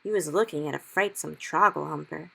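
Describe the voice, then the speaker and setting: A woman's voice: low voice, fairly quick, vocal fry, exaggerated voice, poor audio. A woman voicing a big, friendly male giant who mangles his grammar and cries out in anguish over a caught nightmare. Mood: earnest. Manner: ungrammatical made-up words, loud anguished exclamations.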